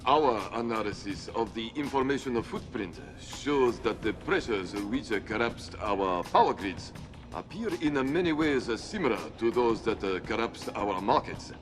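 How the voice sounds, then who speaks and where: An actor playing a formal, grave Japanese admiral in a video game, speaking with precise wording. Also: male voice, medium pitch, expressive, unhurried, lightly acted. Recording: music playing behind.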